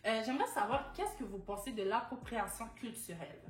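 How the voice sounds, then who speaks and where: A woman's voice: on the high side, expressive, fairly quick. A young woman hosts a casual panel, earnestly asking questions and sharing her own experience. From Quebec. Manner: earnest, asks questions, recounts personal experience. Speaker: talk show host. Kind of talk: moderating a casual discussion. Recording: echoey room, soft music.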